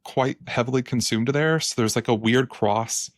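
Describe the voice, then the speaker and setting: A man's voice: medium pitch, moderate pace, no echo, clean studio sound. A man hosting a podcast, talking in an easygoing, hesitant way with ums and short laughs. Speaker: podcast host. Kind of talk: casual podcast conversation.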